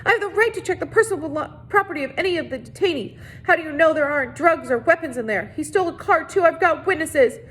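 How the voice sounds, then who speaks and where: A woman's voice: animated, quick pace, hardly any pauses, a bit bright. A woman reading a storybook, voicing a domineering, frantic woman warden who gives insistent orders. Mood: frantic, indignant. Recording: noisy recording with low rumble.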